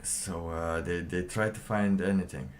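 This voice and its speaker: Slow, low-voiced man with background noise. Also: steady tone. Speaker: livestream host. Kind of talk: casual livestream commentary, reading documents aloud.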